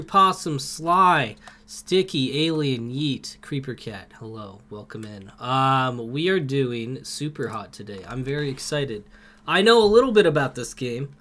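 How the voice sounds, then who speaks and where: Lively young man streaming a game. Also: a little high. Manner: greets chat by name, excitable outbursts. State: has a cold. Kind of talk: casual live game stream chat. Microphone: headset.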